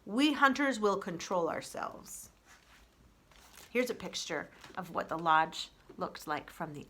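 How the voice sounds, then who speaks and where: A woman reading a children's story aloud in an expressive storybook narration style, as a librarian would. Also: fairly low pitch, a little hiss or hum.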